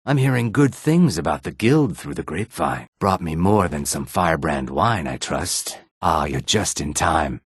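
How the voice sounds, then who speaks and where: A sly reptilian fence from a fantasy video game, speaking very low with a husky rasp. He is wary and self-serving, a guarded, slightly haughty dealer. The sound is close and dry. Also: lively intonation, moderate pace, few pauses, no echo, heavily acted. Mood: pleased, salesmanlike. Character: shady male lizard-man fence, wary, sly, self-serving merchant. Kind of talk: scripted video game character dialogue.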